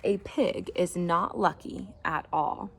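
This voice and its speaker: A woman's voice: fairly low pitch, fairly even intonation, takes it slowly, gravelly, a little hiss or hum. Woman putting on a stern, grumpy neighbour lady. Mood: scornful. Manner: stern, emphatic. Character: grumpy, disapproving neighbour woman who hates surprises.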